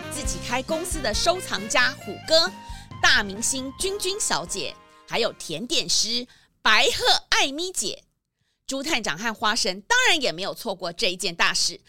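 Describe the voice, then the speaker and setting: Animated woman storyteller over music. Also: fairly high pitch. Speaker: narrator. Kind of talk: scripted storytelling narration for children.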